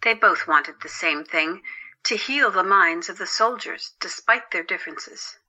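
Woman narrating a drama story, sharp forceful voice, a bit low, reading from script. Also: measured pace, rough recording.

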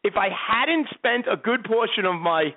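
A man's voice: sits high, lively intonation, measured pace. A man in his thirties hosting a fantasy sports radio show, rambling and repeating words as he talks. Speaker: host. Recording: tinny phone-like sound.

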